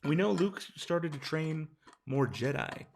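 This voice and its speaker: A man with a hoarse, tired voice, casually reading out viewer questions and answering them on a late-night live stream. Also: a little high, lively intonation, a little slow, background noise.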